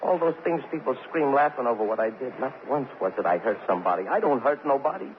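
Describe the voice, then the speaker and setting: A man's voice: mid-range voice, expressive, natural voice. An actor playing a self-pitying, cornered drunk man who stammers and repeats himself in an old radio crime drama. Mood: anguished, pleading. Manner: halting, repetitive, stammering, street slang. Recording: muffled, hissy old radio recording.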